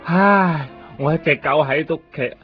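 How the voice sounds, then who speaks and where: A man's voice: on the high side, a little slow, lightly acted. A man voicing a needy, whiny pet dog in a radio drama, pleading like a child. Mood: tearful, pleading. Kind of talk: Cantonese radio drama acting. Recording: muffled lo-fi radio recording, music behind.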